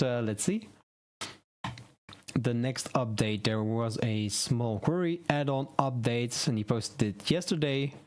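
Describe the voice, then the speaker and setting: A man whose first language is Dutch hosts a relaxed live stream on website building. He thinks aloud, stumbles over words and restarts them, at an ordinary middle pitch. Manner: rambling, self-correcting, thinking aloud. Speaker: web design streamer. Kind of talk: casual tutorial live stream.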